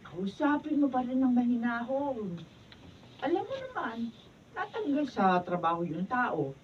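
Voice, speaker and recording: An actress playing a patient older mother who gently calms and advises, soft and husky, in a Filipino radio drama. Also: on the high side, measured pace, slight background noise. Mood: soothing, concerned. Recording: far from mic, muffled recording.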